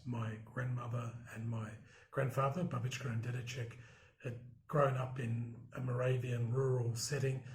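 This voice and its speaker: A man answering interview questions in a measured, reflective way, pausing with frequent ums and restarts. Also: mid-range voice, reverberant, rough recording. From Australia. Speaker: interviewee, broadcast journalist.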